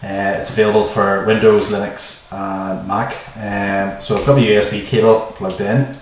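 A man in his forties talks through a tutorial in an informal, plain-spoken way. His voice is low-pitched. It is picked up a little way from the microphone in a small, very reverberant room. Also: little pitch movement, moderate pace. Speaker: host of a how-to video. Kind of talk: casual tutorial explanation. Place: small bare-walled room indoors. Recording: echoey small room, distant mic, faint noise.

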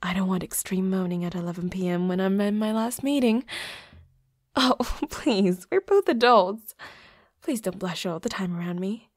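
A woman's voice: lowish voice, fairly even intonation, fairly quick, hardly any pauses, warm tone, natural voice. A woman voicing a flirty, playfully predatory vampire roommate in an intimate audio roleplay, with a coy, teasing manner. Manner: coy, giggly, teasing, drops into breathy whispers.

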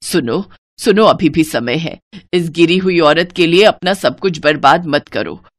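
A woman's voice: deep voice, expressive, measured pace, voice pushed down. A woman voicing a distressed, scolding elderly mother-in-law in a cartoon, wronged and pleading. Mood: disgusted, defiant.

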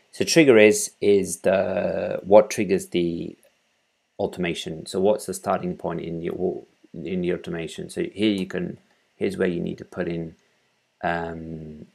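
Low, gravelly man, flat delivery. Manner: halting, thinking aloud while working. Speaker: tutorial presenter. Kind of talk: informal screencast tutorial, explaining step by step. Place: small room at home, indoors.

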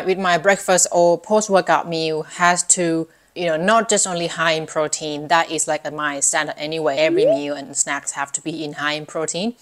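A young woman talking casually and softly, pausing often with fillers as she explains her meals. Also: deep voice. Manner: soft, hesitant, many fillers. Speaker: fitness vlogger. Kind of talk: unscripted casual talk. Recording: music behind the voice.

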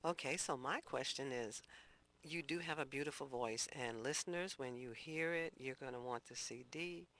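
Casting: male radio host, gravelly fry, bright tone, calm steering manner. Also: mid-range voice, normal speed. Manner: steers the talk, reflective asides. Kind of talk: radio interview.

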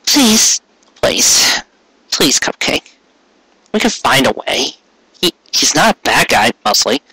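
A man voicing an anxious, gentle young stallion pony in an audio story, pleading in a halting, stammering way. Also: mid-range voice, very animated, husky, dry recording, full character voice, poor audio.

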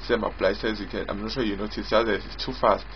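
Halting low-voiced man demoing software. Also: level tone, noisy background. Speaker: software developer demonstrating own app. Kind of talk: informal screen-recorded software demo narration. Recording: dull lo-fi mic with prominent music.

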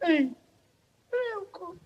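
An actor playing a rowdy, mischievous young village lad in 1940s radio drama style. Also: male voice, extremely high pitch, lively intonation, very slow, dull audio, heavily acted. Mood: calling out. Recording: old radio recording with crowd behind.

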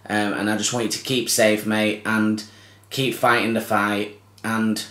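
A man, hosting a fishing channel and giving a heartfelt tribute to camera, halting with many restarts and ums. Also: on the low side, flat delivery, a bit bright, echoey room. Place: small indoor room with curtains. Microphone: camera close to face.

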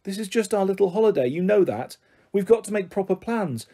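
A woman's voice: mid-range voice, expressive, a little fast, dark tone, pitched above the performer's own voice. A woman voicing an anxious, snappish but loving mother in a storybook. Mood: anxious, fond.

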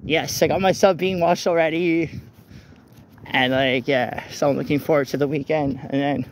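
A man rambling casually and haltingly into a handheld phone as he walks outdoors, often repeating his phrases. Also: a little high, fairly even intonation. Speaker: vlogger. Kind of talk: casual rambling walk-and-talk chat. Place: outdoors walking along a street at night. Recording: noisy outdoor phone, traffic ambience. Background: vehicles and street ambience.

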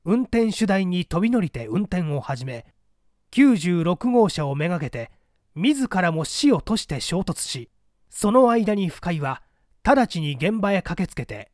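Male audiobook narrator, formal, precise, dramatic, highish voice, animated intonation, dry recording. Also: dry recording. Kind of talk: scripted dramatic literary narration.

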